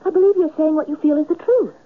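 Actress as high, lilting sweetheart on old radio. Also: female voice, quick pace, lightly acted. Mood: earnest, determined. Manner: lilting, romantic, theatrical. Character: hopeful, affectionate young woman, aspiring stage actress. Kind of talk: old-time radio drama acting. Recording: tinny, noisy old radio recording.

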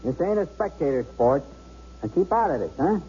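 A noisy, dull old radio recording carries a volatile gunman. His voice sits a little high and swings widely as he sneers and gives orders in tough street slang. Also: very animated, moderate pace, dark tone. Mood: sarcastic. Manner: sarcastic, slangy, bossy tough-guy talk. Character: cocky, volatile male bank robber holding hostages. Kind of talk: radio drama acting.

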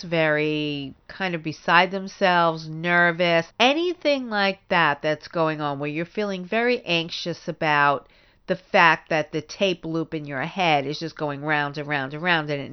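A woman hosts a solo advice podcast, talking directly to her listeners in an informal, explanatory way. She speaks slowly in a smooth, clear voice that sits a little low. The recording is slightly dull. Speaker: podcast host; grief therapist and author.

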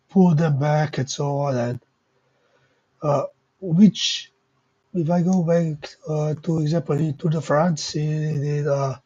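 A man with a raspy voice from a cold, talking hesitantly with many fillers and self-corrections while recapping race results. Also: a little high, mellow. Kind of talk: casual unscripted commentary. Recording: dull lo-fi mic.

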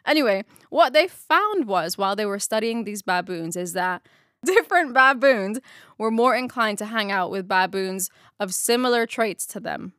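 A woman's voice: medium pitch, lively intonation, normal speed, a bit bright, dry recording, clean studio sound. A woman co-hosting a casual Bible study chat, slangy and laughing often.

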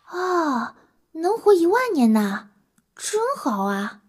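An actress playing a cheeky, guileless last human in an audio drama, plain-spoken, teasing and theatrical. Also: female voice, extremely high pitch, big pitch swings, slow, heavily acted. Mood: naive, chatty. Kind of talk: Chinese audio drama acting and narration. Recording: music under the voice. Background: background music.

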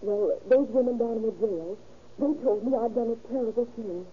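A woman's voice: fairly high pitch, normal speed, close to an everyday voice. An actress playing an anxious, ashamed young woman, earnest and pleading, in an old-time radio melodrama. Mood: ashamed, distressed. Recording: dull, hissy old radio recording.

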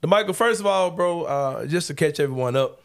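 A man's voice: fairly high pitch, lively intonation, a little fast, creaky. A man, hosting a casual sports talk show in a laid-back, joking, slangy way. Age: 40s. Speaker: podcast host.

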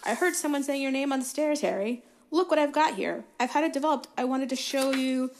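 A woman voicing an excitable young schoolboy fan who gushes breathless questions in a piping voice. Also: high-pitched, a little fast, soft background music, voice pushed up. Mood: eager.

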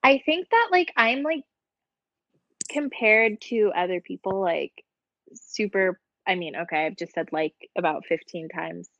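A woman's voice: mid-range voice, a little slow, vocal fry, a bit bright, no echo, dull audio. A young woman co-hosting a podcast in casual chat, rambling and filling her talk with "like". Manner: chatty, rambling, heavy use of "like". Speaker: podcast co-host.

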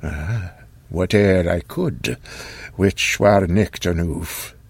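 A man's voice: sits low, drawn-out words, creaky, breathy edge, dry recording, heavily acted. A man voicing an old male scholar who speaks in an archaic way with Scots and German touches, weary and cryptic.

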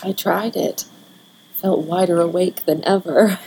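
A woman playing a caring, romantic teenage elder sister in an audio drama, soft, concerned and expressive. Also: fairly low pitch, unhurried, creaky, a little hiss or hum, poor audio. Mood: anxious, hushed.

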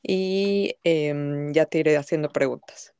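Host: woman in her 40s, lower voice, smooth, hesitant chatty delivery. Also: slow, warm tone, no room sound, clean studio sound. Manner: hesitant, with many fillers and laughs. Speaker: podcast host. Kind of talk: casual podcast conversation.

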